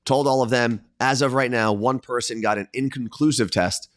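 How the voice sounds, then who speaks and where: A man's voice: mid-range voice, fairly even intonation, moderate pace, dry recording. Laid-back male co-host, easy hesitant chat, dry close sound. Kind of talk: casual chat. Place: staying in Florida.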